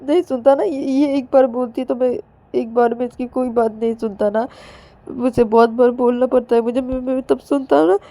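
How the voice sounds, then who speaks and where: A woman's voice: high voice, fairly even intonation, a little fast, dark tone, voice pushed up. A woman voicing a distraught young character in an audio story, pleading childishly through tears with stammered, repeated whining phrases. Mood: tearful pleading. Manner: stammering, repetitive, whining pleas. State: crying. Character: distraught young person, pleading childishly beside unconscious friend.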